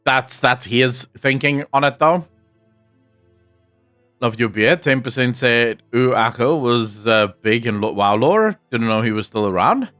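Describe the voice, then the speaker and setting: A man from South Africa with a soft South African English accent, Afrikaans his first language, chatting casually on a stream and answering chat. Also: mid-range voice, unhurried, faint music behind. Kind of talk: casual live-stream chat, reading comments.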